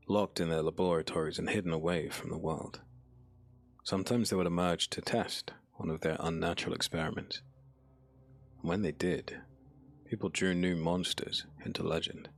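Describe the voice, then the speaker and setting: A man narrating a dark fantasy audiobook in a solemn, measured, dramatic manner. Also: low-pitched, fairly even intonation, gravelly, dry recording.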